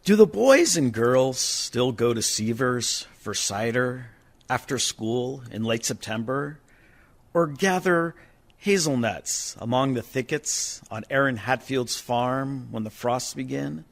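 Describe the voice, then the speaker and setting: A man's voice: mid-range voice, lively intonation, slow, creaky. A man acting a wistful dead villager dreaming of his youth, in a reflective, lyrical radio monologue. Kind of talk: dramatic verse monologue for radio.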